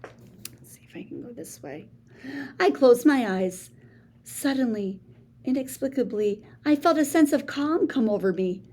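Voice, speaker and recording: A woman reads a children's story aloud in a lively, sing-song voice that swoops up and down. She takes her time, letting each line land unhurriedly, and drops into gasps and hushed asides to the listeners. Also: high-pitched, a little slow. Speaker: children's book reader.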